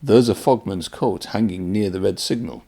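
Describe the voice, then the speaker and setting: A man reads as a cautious storybook engine driver. The voice is mellow and slightly gravelly, with a steady, matter-of-fact way of warning. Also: on the low side, moderate pace. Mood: calm, wary.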